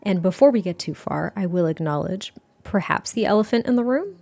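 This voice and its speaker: A woman in her thirties with a hoarse, gritty, raspy voice, chatting casually and self-deprecatingly in a gaming voiceover. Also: mid-range voice, animated, fairly quick, mellow. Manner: rambling, self-deprecating, laughs often. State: hoarse from a weekend of cheering and singing. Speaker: Sims YouTuber.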